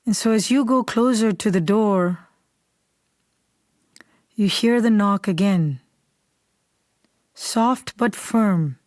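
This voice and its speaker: Mellow, soothing woman guiding a meditation. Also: mid-range voice, no room sound, clean studio sound. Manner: calm, unhurried, soothing. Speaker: meditation guide. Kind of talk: guided meditation, slow scripted instruction.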